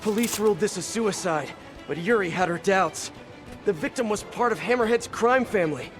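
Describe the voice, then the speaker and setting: An actor playing an earnest young superhero detective in a video game, musing aloud with curiosity and worry over music. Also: male voice, high-pitched, lively intonation, normal speed. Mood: concerned. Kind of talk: video game voice acting, investigative monologue.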